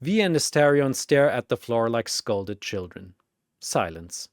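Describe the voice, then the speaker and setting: A man's voice: mid-range voice, sing-song, a little slow, dry recording, clean studio sound. A man narrating a scripted fantasy story dramatically, in theatrical, punchy phrases.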